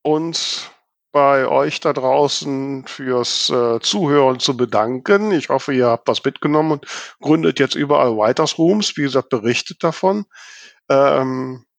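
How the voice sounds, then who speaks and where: A man's voice: medium pitch, measured pace, creaky, no room sound. A man hosting a podcast in casual, informal chat, with a joking, check-in way of talking. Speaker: podcast host.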